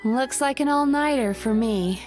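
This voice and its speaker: A woman voicing a gentle, modest dream scholar in a video game, hesitant and thoughtful. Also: high voice, unhurried. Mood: wry. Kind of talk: scripted video game voice acting. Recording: music behind the voice.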